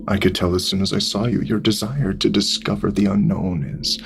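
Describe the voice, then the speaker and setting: An actor playing a sinister, manipulative talking pig in an audio drama, coaxing and commanding in a deliberate, gravelly voice. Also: male voice, lowish voice, flat delivery, background noise. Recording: music under the voice.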